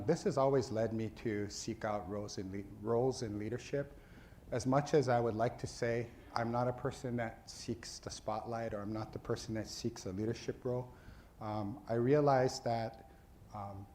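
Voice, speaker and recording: Earnest man from Hawaii, mellow voice. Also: lowish voice, a little hiss or hum. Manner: earnest, halting with frequent fillers and restarts. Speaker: dean of a social work school. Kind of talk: faculty meeting address.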